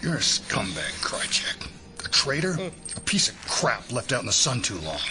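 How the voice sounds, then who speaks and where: An actor playing a stern, bitter, middle-aged FBI director with a formal, forceful way of speaking, in an audio drama. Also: male voice, medium pitch, sing-song, normal speed, over music, lightly acted. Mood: bitter, commanding.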